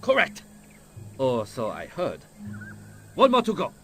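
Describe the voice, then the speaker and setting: A suspicious ninja cult leader from a video game. He speaks high and animatedly in clipped broken English with a mock Japanese accent, haughty and pompous as he tests his listener. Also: wildly swooping pitch, unhurried, fairly bright tone, faint music behind, exaggerated voice. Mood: stern, grudging. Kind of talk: video game cutscene acting.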